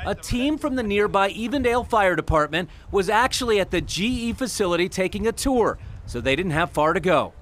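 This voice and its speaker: A man's voice: sits high, expressive, fairly quick, a bit bright. A male news reporter reading a scripted voice-over with emphatic storytelling delivery.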